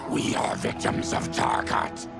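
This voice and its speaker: A man voicing a grim, disfigured, monstrous colony leader in a video game, with a gravelly rasp and slow, terse, formal speech. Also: lightly acted. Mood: bitter, grave. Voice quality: gravelly, rasping. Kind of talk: video game cutscene acting. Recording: loud music under the voice. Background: loud music.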